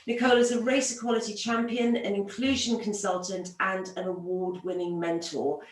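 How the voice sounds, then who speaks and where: A woman's voice: medium pitch, fairly even intonation, moderate pace. A woman hosting an online event, introducing a guest speaker and putting questions to them. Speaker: host and moderator. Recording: roomy, distant microphone.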